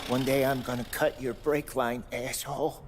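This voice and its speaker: A man's voice: medium pitch, level tone, moderate pace, big-room sound, heavy background noise, natural voice. An actor playing a nervous, stammering male mechanic in a game cutscene, repeating his words. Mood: muttering. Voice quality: heavy stutter. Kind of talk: video game cutscene acting.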